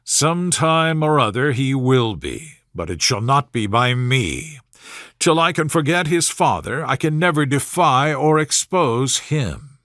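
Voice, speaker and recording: A man voicing an aggrieved young Regency gentleman in a storybook, smooth-talking and formal, with measured, wounded dignity. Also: medium pitch, animated, dry recording, clean studio sound. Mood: wounded, dignified. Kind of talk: storybook character voice in audiobook reading.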